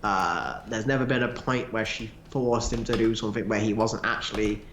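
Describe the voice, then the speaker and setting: A man's voice: lowish voice, steady tone, normal speed, fairly bright tone, a little hiss or hum. Man in casual fan chat, echoey room. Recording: roomy, echoey sound.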